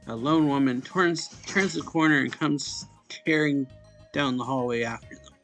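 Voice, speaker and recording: A man's voice: mid-range voice, moderate pace, fairly bright tone, faint music behind. A man reading a visual novel aloud in a casual, informal way, narrating and voicing the lines as he goes. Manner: informal, stumbles and corrects misreadings.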